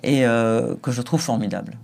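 A man speaking as an interview guest on a talk show. His delivery is emphatic and forceful, and short laughs punctuate his points. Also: medium pitch, moderate pace, vocal fry. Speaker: guest, school figure.

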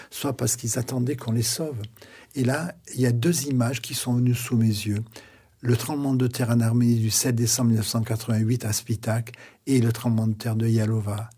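Earnest older male pastor, husky voice. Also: medium pitch, darkish tone. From France. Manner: earnest, reflective, preacherly pauses. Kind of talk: radio interview, testimony.

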